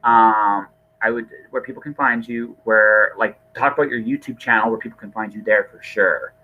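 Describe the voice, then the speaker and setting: A man's voice: fairly low pitch, moderate pace, narrow, tinny audio. A man hosting a podcast in casual conversation, talking informally and often repeating and rephrasing himself. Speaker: podcast host. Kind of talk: casual interview chat.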